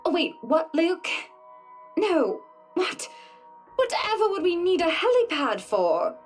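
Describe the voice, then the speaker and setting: An actress playing a wealthy, gracious but imperious socialite wife in a visual novel, genteel and condescending. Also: female voice, very high voice, big pitch swings, slow pace, soft background music, full character voice. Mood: flustered.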